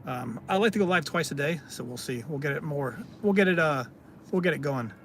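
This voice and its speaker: Guy on a live stream, kinda high voice with some fry, lots of uhs, light hiss in back. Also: animated, a bit bright. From California, United States. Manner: reads viewer comments aloud and answers them, halting with many fillers. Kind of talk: casual live-stream chat.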